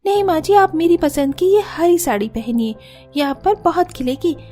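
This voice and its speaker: A woman voicing a sharp-tongued young sister-in-law in a cartoon story, quick to argue. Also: extremely high pitch, lively intonation, soft background music. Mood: pleading. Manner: quick, argumentative, sulky. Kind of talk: cartoon voice acting.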